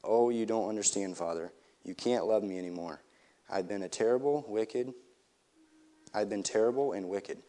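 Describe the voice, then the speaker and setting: A man's voice: on the low side, normal speed, dark tone, lightly acted. A man reading the lines of a tearful, ashamed young girl in a storybook, in a pleading tone.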